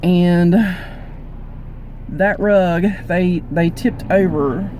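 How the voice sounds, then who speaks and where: A middle-aged woman with a hoarse, froggy voice, chatting casually and rambling while she drives. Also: fairly low pitch, fairly even intonation, very slow. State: hoarse from coughing. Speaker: vlogger. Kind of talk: casual chat while driving. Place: inside a moving car. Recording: loud car road noise.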